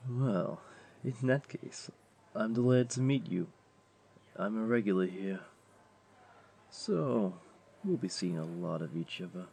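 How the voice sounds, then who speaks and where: A man's voice: low-pitched, gravelly, mellow, slight background noise, full character voice. A man voicing a flirty, laid-back jaguar beastling, a tavern regular who teases and coaxes the listener in a roleplay audio drama. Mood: welcoming, interested. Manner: playful, coaxing, unhurried teasing.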